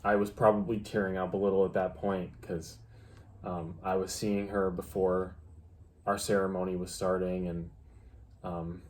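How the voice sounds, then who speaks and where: A young man co-hosts a casual storytime vlog in a low voice. He talks haltingly and restarts often, with faint noise in the background. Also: level tone, a little mellow. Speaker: vlog co-host. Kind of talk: casual storytime chat with a partner.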